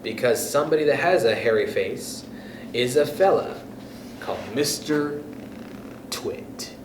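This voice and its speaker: A man's voice: medium pitch, animated, a little slow. A man hosting storytime, reading a children's book expressively and speaking directly to young listeners. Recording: echoey room with background noise.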